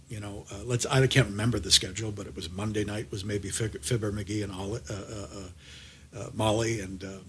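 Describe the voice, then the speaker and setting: A man's voice: on the low side, fairly bright tone, husky. A middle-aged American man hosting a conversational interview, chatty and rambling as he circles back mid-sentence. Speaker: podcast host and audio drama producer.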